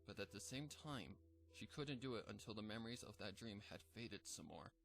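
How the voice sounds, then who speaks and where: A man narrating a dark fan fiction story in a measured, somber reading. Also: fairly low pitch, expressive, vocal fry, a little hiss or hum. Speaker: fanfic narrator.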